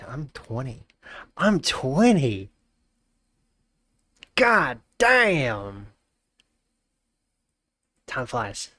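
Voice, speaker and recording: A man's voice: medium pitch, no room sound. A man in his twenties with a blocked nose, chatting casually and jokingly on a live stream, often trailing off into mumbles. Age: 20s. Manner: joking, greets chat warmly, trails off into mumbles.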